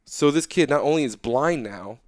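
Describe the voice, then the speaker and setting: A young man talking casually as an interview guest, animated and loose, often checking in with "right?". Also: mid-range voice, moderate pace, dry recording, studio quality.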